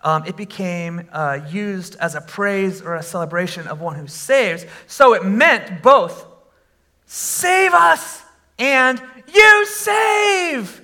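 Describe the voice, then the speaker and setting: A man preaching a sermon to a congregation, conversational with fillers, and breaking into animated shouts when he exclaims. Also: high-pitched, slow. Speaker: pastor.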